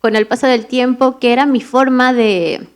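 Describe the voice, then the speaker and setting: A woman's voice: a little high, fairly even intonation, normal speed, smooth. A woman, telling a personal story at a literary panel and searching for words. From Mexico. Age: adult mother of two daughters. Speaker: panel writer. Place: library event hall.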